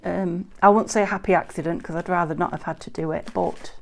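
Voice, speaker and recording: A woman from the UK hosting a crafting video, chatting casually and rambling a little as she shows her work, her voice a bit croaky. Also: lowish voice, steady tone, fairly quick. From United Kingdom. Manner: chatty, rambling, self-correcting with frequent ums. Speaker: craft channel host. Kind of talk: casual crafting tutorial chat. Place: indoors at a craft table. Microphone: overhead camera, hands only on table.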